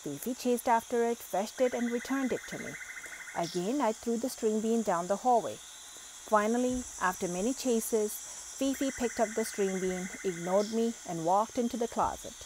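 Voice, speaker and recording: A woman reading a children's picture book aloud, expressive and lively in the exclamations. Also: fairly high pitch, moderate pace, slight background noise, low-quality recording. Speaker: storybook reader.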